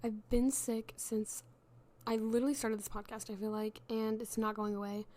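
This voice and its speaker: A woman's voice: a little high, flat delivery, normal speed, dark tone. A young woman hosting a casual solo podcast, chatty and self-deprecating, with many fillers and restarts, her voice worn from being sick. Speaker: podcast host.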